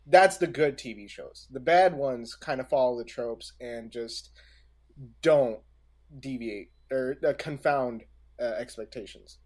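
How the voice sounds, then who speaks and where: Halting man discussing on a podcast, mid-pitched. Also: darkish tone. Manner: halting, deliberate, many pauses mid-sentence. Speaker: podcast co-host.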